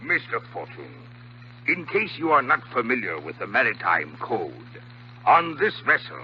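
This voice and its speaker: An actor playing a huge, domineering old ship's captain in a 1950s radio drama, stern, formal and commanding. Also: male voice, medium pitch, sing-song, normal speed, natural voice. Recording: dull, noisy 1950s radio recording, hum.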